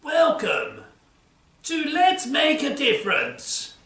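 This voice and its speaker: Bouncy cartoonish doctor, as a presenter puts it on: slow, bright and sharp, heard from a distance. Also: high voice, huge pitch swings, faint music behind, exaggerated voice. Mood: upbeat instructive. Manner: sing-song, theatrical, exaggerated emphasis. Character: zany know-it-all science doctor, eager and bouncy. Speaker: children's segment presenter. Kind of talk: playful children's church show. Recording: roomy sound from a distant mic.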